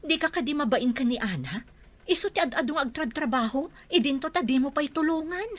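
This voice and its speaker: A woman's voice: high-pitched, lively intonation, a little fast, no room sound. A woman playing a stern, reproachful middle-aged mother in an Ilocano radio drama, with an Ilocano accent.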